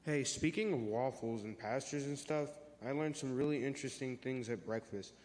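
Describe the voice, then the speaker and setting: A man's voice: mid-range voice, moderate pace, darkish tone, lightly acted. Young man as friendly teen boy, echoey hall. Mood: friendly, explaining. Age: teenager or young adult. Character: friendly, explaining churchgoing teenage boy. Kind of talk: church reader's theater.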